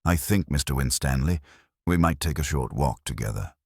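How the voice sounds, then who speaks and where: A man voicing a mild, unflappable police inspector in a mystery story, courteous, measured and dry in manner. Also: a bass voice, expressive, husky, no room sound, heavily acted. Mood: mild, unfazed. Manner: mild, unhurried, dryly courteous. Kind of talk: dramatised audiobook-style mystery storytelling.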